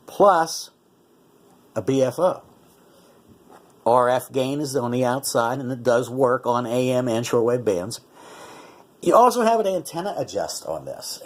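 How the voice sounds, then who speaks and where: A man's voice: mid-range voice, a little mellow. A man giving a casual, explanatory product demonstration as a reviewer, conversational and often correcting himself. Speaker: radio reviewer.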